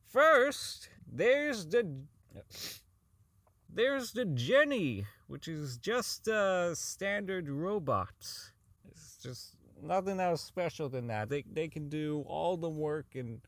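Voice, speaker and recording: Rambling, slow-talking oddball inventor played by a man. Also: fairly high pitch, lively intonation, a bit bright, no room sound, full character voice. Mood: scattered, muttering. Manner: rambling, stammering, repeating himself, profane. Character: socially inept, unravelling robot designer recording a work log. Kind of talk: audio drama monologue as a spoken log.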